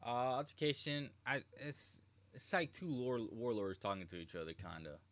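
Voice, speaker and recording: A man's voice: mid-range voice, normal speed, fairly bright tone. A man streaming live reactions to a video game, speaking casually in a slangy, rambling way. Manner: slangy, rambling, mimics characters in quotes.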